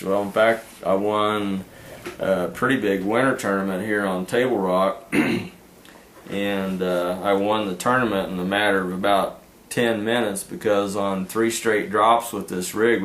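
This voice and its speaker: A man's voice: lowish voice, fairly even intonation, unhurried, a bit bright, slight background noise. A man telling fishing stories in a casual, rambling, folksy way, often trailing into "anyway" and "uh". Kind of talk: casual storytelling. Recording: echoey room with faint ambience.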